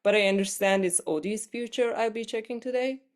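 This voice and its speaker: Woman reading as a matter-of-fact fortune teller, lifted pitch. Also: brisk, natural voice. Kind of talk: staged reading of a play.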